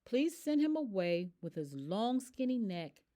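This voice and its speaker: Woman reading as an animated, exasperated little storybook girl. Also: medium pitch, a little slow. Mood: wishful. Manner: animated, expressive. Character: eager, exasperated little girl with a troublesome pet ostrich. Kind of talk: rhyming children's picture book narration.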